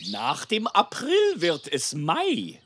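An actor voicing a clever, pompous male gander who teaches in a drawn-out, didactic way in a children's cartoon. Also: mid-range voice, wildly swooping pitch, fairly bright tone, a little hiss or hum, heavily acted. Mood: instructive.

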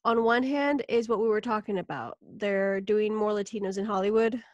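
A woman hosting a casual podcast interview, chatty and relaxed, with many fillers and easy laughter. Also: mid-range voice, moderate pace, no room sound, muffled recording. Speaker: podcast host.

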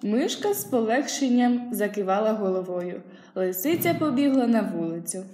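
A woman's voice: mid-range voice, animated, normal speed, warm tone. A young woman reading a children's story aloud as narrator, from a script. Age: young adult. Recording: roomy indoor echo.

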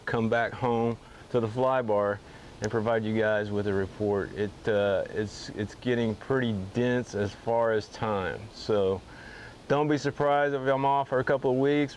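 A man's voice: mid-range voice, slight background noise. Easygoing middle-aged man giving a fishing report. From Texas. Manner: relaxed, easygoing, with frequent "uh" pauses. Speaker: fly fishing guide and channel host. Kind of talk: casual weekly fishing report to camera. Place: indoor garage-like workshop bar, seated at a table.

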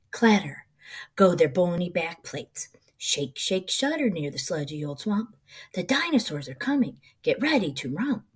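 A middle-aged woman reading a children's picture book aloud in an expressive, rhythmic, sing-song way. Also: fairly low pitch, husky.

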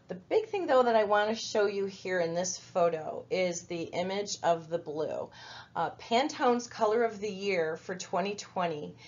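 A middle-aged woman from the United States giving a conversational training presentation over slides, with many fillers and restarts. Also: mid-range voice. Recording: dull lo-fi webinar audio.

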